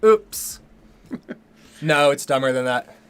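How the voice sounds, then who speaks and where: Hoarse man rambling through a livestream. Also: mid-range voice, animated, slow. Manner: rambling, many ums and restarts. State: losing his voice, a little sick. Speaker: co-host of a livestream Q&A. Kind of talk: casual livestream chat.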